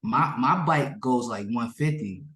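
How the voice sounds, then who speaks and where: A native Yoruba-speaking man with a medium-pitched voice answers questions as a musician. He is casual and slangy, often doubling back on his words. The room around his voice is echoey. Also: measured pace, a bit bright. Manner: informal, slangy, repeats himself. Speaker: musician being interviewed. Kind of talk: casual interview chat.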